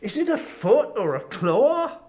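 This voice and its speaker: A man's voice: high voice, very animated, unhurried, darkish tone. A man playing a humbled, fearful old man who asks hesitant, pleading questions in a dramatic storybook reading. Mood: uneasy curiosity.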